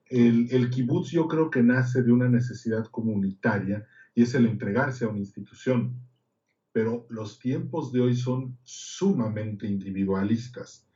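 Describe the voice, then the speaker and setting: Mellow-voiced man hosting. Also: medium pitch. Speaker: host. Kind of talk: casual interview. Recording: dull lo-fi audio.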